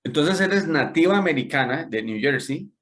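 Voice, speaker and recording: A man's voice: on the high side, lively intonation, moderate pace, a bit bright. A man hosting an interview, curious and hesitant, often rephrasing his questions as he goes. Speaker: interview host. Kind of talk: conversational interview.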